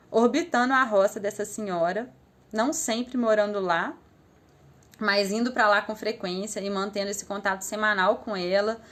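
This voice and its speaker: A woman's voice: a little high, fairly bright tone. A young adult woman with a Brazilian accent, telling her story in a reflective, casual way on a live stream, often trailing off with small fillers. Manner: thoughtful, rambling, with frequent "assim" and "né" fillers.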